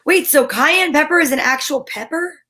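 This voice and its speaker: A woman's voice: sits high, normal speed, bright, forceful tone. A woman co-hosting a livestream in casual, chatty banter. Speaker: co-host of a livestream show.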